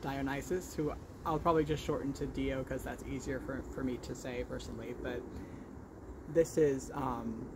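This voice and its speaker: A man's voice: medium pitch, steady tone, background noise. A man chatting casually as a vlog host, with a stutter that repeats and blocks sounds, often correcting himself as he goes. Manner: halting, self-correcting. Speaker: vlog host showing crochet work. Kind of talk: casual podcast-style chat.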